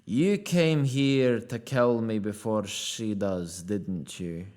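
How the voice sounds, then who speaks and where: An actor playing a bitter, foul-mouthed dying rogue in a fantasy audio drama, sardonic and accusatory. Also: male voice, on the low side, takes it slowly, darkish tone. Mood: weary, bitter.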